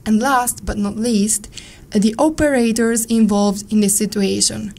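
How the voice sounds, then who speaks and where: Italian woman speaking english, turin accent, careful and earnest, darkish mellow tone. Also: mid-range voice, steady tone, gravelly. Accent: Italian, from around Turin. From northern Italy. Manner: measured, earnest, careful non-native phrasing. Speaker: volunteer psychologist. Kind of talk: podcast interview answers.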